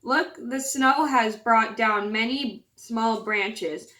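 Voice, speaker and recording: A woman's voice: fairly high pitch, takes it slowly, sharp tone. A woman with a scratchy voice voicing a sweet, trusting little girl in a storybook reading. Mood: innocent.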